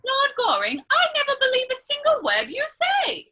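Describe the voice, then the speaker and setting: A woman's voice: very high-pitched, wildly swooping pitch, moderate pace, bright, forceful tone, full character voice. An actress playing a witty, teasing young society lady in a comedy of manners, with an arch, theatrical and playfully scolding manner. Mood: mock scolding. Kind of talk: stage comedy of manners, dramatic reading.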